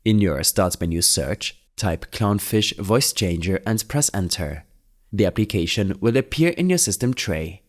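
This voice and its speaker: A man with a deep-set voice hosts a scripted how-to tutorial. He gives instructions in plain wording, one orderly step after another. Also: lively intonation, measured pace. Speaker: tutorial host.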